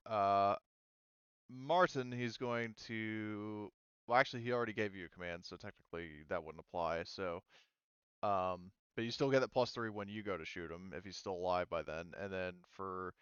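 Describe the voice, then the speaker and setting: A man running a tabletop roleplaying game as game master, explaining rules and thinking aloud in a halting way. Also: lowish voice, fairly bright tone, smooth, no room sound, muffled recording.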